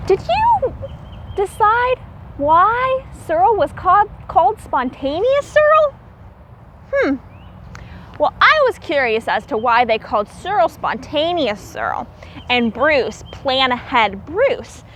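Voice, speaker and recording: A woman teacher reading a children's storybook aloud in an expressive, theatrical way and explaining things simply for young listeners. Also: very high-pitched, slow pace, a little hiss or hum.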